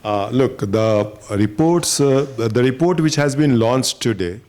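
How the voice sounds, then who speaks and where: A man answering on a panel as a government official, in halting, plain phrases. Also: mid-range voice, animated, darkish tone.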